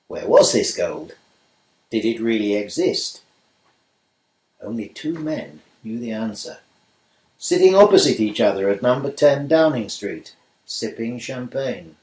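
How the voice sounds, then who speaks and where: A man giving measured, scripted narration for a radio play. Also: on the low side, expressive, darkish tone. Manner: measured storytelling. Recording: roomy room echo.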